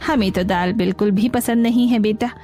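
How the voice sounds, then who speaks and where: A woman's voice: medium pitch, a little fast, warm tone, faint music behind, close to an everyday voice. A woman voicing a fussy, demanding older aunt who is a guest in a cartoon. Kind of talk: Hindi cartoon dialogue.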